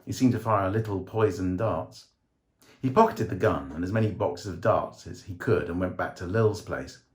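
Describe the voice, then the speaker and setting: A man's voice: low voice, moderate pace, darkish tone. A man reading a short story aloud as a dramatic scripted narration.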